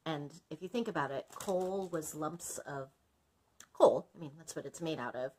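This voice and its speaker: A woman's voice: sits low, moderate pace, a little mellow, a little hiss or hum, poor audio. A woman librarian reading a story aloud expressively, breaking off to explain things in chatty asides.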